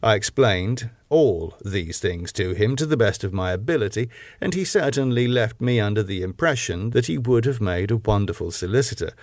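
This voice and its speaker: A man reads a gothic novel as a scripted audiobook. His voice sits fairly low, and his delivery is measured and formal. The recording is close and very dry, without room sound. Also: expressive, darkish tone, dry recording. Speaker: audiobook narrator.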